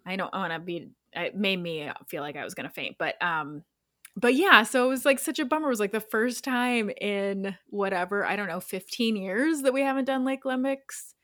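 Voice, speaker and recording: A woman's voice: medium pitch, moderate pace, pushed and bright, no room sound, clean studio sound. A woman in her thirties co-hosting a podcast, telling a rambling story with lots of fillers and self-deprecating laughs. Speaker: podcast co-host. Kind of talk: casual chat.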